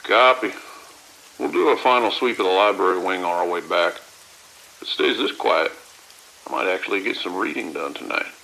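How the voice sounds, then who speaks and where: A man's voice: low-pitched, expressive, moderate pace, gravelly, long pauses, sharp tone, exaggerated voice, rough recording. A man playing a restless, bored security patrol officer giving clipped, dry radio reports in an audio drama. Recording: faint hiss and rain-like noise.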